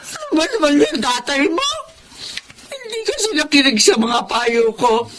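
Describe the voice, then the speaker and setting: A woman's voice: high voice, big pitch swings, unhurried, a crowd in the background. A woman playing a worried, exasperated elderly grandmother in a radio-style drama, with a Filipino accent. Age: older.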